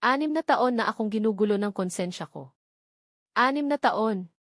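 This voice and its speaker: A woman's voice: fairly high pitch, expressive, normal speed, dry recording, clean studio sound. A woman voicing a drunken, guilt-ridden, scruffy man in a story, pleading and stammering. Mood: guilty, distraught.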